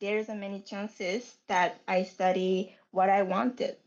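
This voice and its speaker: Young woman, japanese accent, talks slow and picks her words, kinda dull lo-fi sound. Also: medium pitch, little pitch movement. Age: young adult. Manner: unhurried, searching for words. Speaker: guest. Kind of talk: casual podcast chat.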